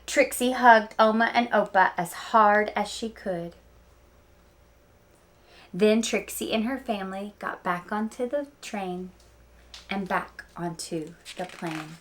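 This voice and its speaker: A woman teacher reading a picture book aloud to children, with animated emphasis and chatty explaining asides. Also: medium pitch, slow.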